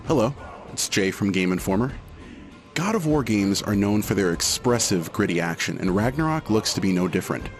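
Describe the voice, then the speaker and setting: Guy doing scripted game preview narration, lowish voice, music under it. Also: lively intonation, fairly quick. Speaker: games journalist, presenter.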